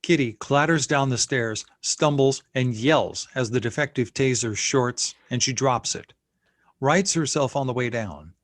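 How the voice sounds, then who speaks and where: A man's voice: medium pitch, measured pace, no room sound. A man reading screenplay action and scene headings as the narrator of a table read. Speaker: narrator reading stage directions.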